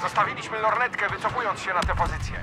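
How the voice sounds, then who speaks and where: An actor playing an embattled military captain in a video game, urgently reporting and calling for support. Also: male voice, high voice, fast talker, music in the background, close to an everyday voice. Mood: strained, reporting. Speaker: game character, soldier. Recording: loud engine and battle noise.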